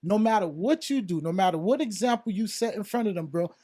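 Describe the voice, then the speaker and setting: A very high-voiced American man in a heated podcast debate. He is insistent and argumentative, pressing his point and cutting in, with plenty of casual "bro" in his speech. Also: expressive, brisk, warm tone. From South Florida, United States. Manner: argumentative, insistent, interrupting, repeatedly addressing others as bro. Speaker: podcast panelist.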